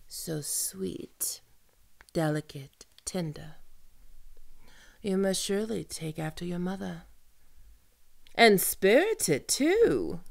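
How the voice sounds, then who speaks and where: Woman performing a female pirate captain, slow deliberate delivery, lowish gravelly voice, seductive, taunting, imperious, theatrical audio roleplay. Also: a bit bright, exaggerated voice. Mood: seductive, menacing. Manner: theatrical, teasing, drawn-out menacing delivery with archaic turns of phrase. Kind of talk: ASMR roleplay acting.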